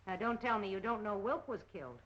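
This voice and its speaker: A woman's voice: medium pitch, lively intonation, fast, fairly bright tone. An actress playing a sly, probing woman accomplice in a spy ring in a 1930s mystery film. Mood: sly, accusing. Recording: dull, lo-fi 1930s film soundtrack.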